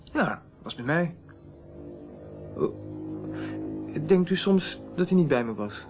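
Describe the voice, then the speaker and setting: A man's voice: a little high, very animated, slow pace, music in the background. An actor playing a stubborn, defensive young man in a Dutch radio drama. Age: young adult. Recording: phone-like old radio recording, noisy.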